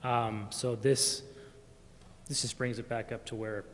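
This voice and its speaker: Flat-toned man explaining, echoey room. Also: medium pitch, unhurried. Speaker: city staff member reporting to council. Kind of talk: informal explanation at a public meeting. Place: indoor council chamber. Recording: echoey chamber with faint noise.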